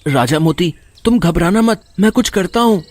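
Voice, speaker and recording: A man playing an earnest young animal lover in an animated story, speaking with urgency. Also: high voice, normal speed, a little hiss or hum. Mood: anxious, reassuring. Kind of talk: dramatic animated story acting.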